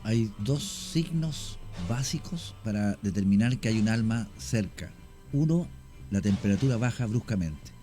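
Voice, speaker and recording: A man, a radio guest slowly telling a paranormal story with many pauses. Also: on the low side, lively intonation, mellow, faint music behind. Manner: slow, halting storyteller. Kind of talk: radio talk show storytelling.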